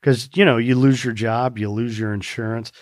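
A man's voice: mid-range voice, fairly even intonation, normal speed, dry recording, clean studio sound. An American man talking earnestly in a casual conversation, rambling a little with restarts. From United States.

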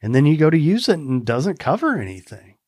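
A man's voice: a little high, big pitch swings, quick pace, a little mellow, no room sound. An American man talking earnestly in a casual conversation, rambling a little with restarts. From United States.

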